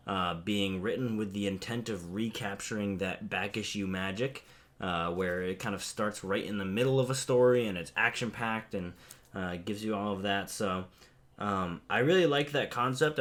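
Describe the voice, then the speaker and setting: A man hosting a casual comic haul video, a bit hoarse and low energy from a head cold, rambling with lots of fillers. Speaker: comic book channel host.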